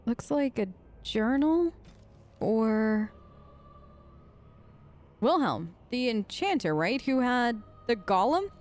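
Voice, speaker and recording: Higher-pitched woman playing through a game. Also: big pitch swings, takes it slowly, pauses often, a little mellow. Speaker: let's player. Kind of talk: role-play gaming commentary, reading in-game text aloud.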